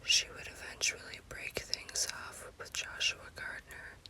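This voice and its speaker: A woman narrates a scary story as ASMR in an unvoiced, breathy whisper, in deliberate short phrases. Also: bright, forceful tone, low-quality recording. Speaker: storyteller, host.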